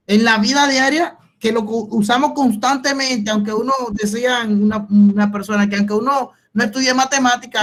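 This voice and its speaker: A man with a Dominican accent gives an informal, halting explanation as a student in an online class, often repeating and rephrasing. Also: very high-pitched, a bit bright. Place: video conference call.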